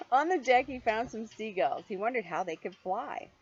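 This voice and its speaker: A mature woman reads a children's story aloud with lively swings of pitch. Her delivery is playful and expressive, moving easily into chatty asides for a young listener. The recording is dull and homemade, with faint noise. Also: moderate pace. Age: middle-aged or older. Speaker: grandmother reading a picture book to a young child.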